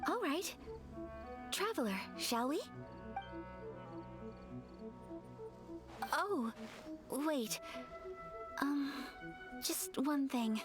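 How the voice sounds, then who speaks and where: A woman's voice: high-pitched, lively intonation, drawn-out words, full character voice. A woman playing a refined, polite and earnest young noblewoman in a video game. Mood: earnest, shy. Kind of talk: English video game voice acting. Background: game music.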